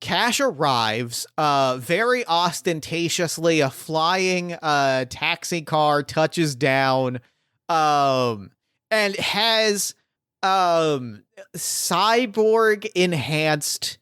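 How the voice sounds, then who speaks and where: Higher-voiced man running a tabletop game. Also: lively intonation, drawn-out words, fairly bright tone, smooth, no room sound, clean studio sound. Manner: rambling, thinks aloud with many fillers. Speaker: game master.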